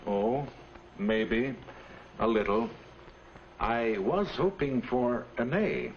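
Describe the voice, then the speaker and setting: A noisy, muffled old film soundtrack holds a mild, encouraging father. He talks very slowly and with understatement, in 1950s classroom film style. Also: mid-range voice, animated. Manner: unhurried, understated. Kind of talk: 1950s instructional film acting.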